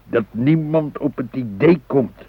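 An actor playing a blunt, cynical middle-aged husband in a naturalistic radio drama, gruff, sarcastic and complaining, with a husky voice. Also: male voice, medium pitch, measured pace. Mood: sarcastic, grumbling. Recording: phone-like, narrow radio recording.

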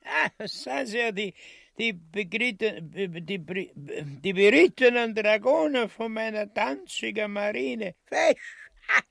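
A man's voice: sits high, expressive, slow, vocal fry, a bit bright, no echo, clean studio sound, full character voice. An actor playing a foppish, naive, doddering king in a farcical radio play, fussy and stammering, with an Austrian accent. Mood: pompous delight. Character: foppish, naive, doddering king, vain about his troops.

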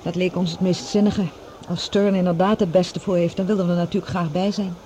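A woman acting as a self-possessed, guarded woman in a radio drama. Her voice is soft-edged and dark, her manner cool but civil. There is faint noise behind her. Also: lowish voice, little pitch movement, fairly quick, natural voice. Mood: guarded, firm. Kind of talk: Dutch radio play acting.

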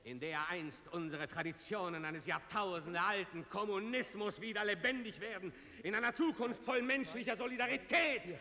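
Radio play revolutionary: impassioned declamation, very high raised voice, big reverberant hall. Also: male voice, moderate pace, sharp tone. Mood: rising fervour. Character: impassioned male revolutionary orator, fervent and solemn. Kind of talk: political oratory in a radio drama. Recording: long hall reverb, crowd behind.

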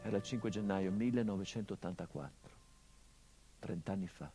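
An actor playing a hardened, grieving middle-aged son, delivering a measured theatrical monologue that drops to hushed tones. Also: male voice, low voice, vocal fry, soft background music. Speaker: stage actor.

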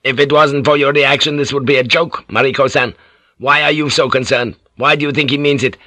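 A man's voice: mid-range voice, steady tone, fairly quick, bright, forceful tone, no echo, pitched above the performer's own voice, natural voice. A man voicing a shrewd, commanding Japanese feudal warlord who speaks in an authoritative, probing way in an audiobook.

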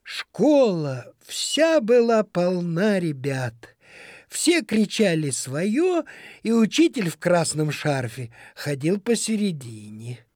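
Slow, animated woman storyteller. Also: on the low side, no room sound, studio quality. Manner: expressive, dramatic storytelling. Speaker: storybook narrator. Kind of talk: scripted children's story narration. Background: music.

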